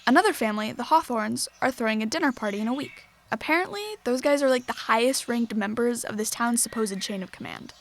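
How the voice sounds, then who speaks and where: A woman's voice: fairly high pitch, slight background noise. A young woman playing an earnest, frustrated teenage girl activist, speaking conversationally in an audio drama diary. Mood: determined. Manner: conversational, earnest, youthful phrasing.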